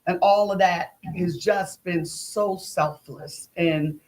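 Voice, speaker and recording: A woman's voice: fairly low pitch, slow pace, darkish tone. A middle-aged woman talking conversationally as an interview guest, with an emphatic, folksy storytelling manner. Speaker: playwright and director, interview guest.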